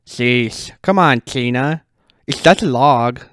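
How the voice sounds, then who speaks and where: A man voicing an excitable, dramatic teenage cartoon boy in a whiny, theatrical way. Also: medium pitch, takes it slowly, no room sound, studio quality, pitched above the performer's own voice. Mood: impatient.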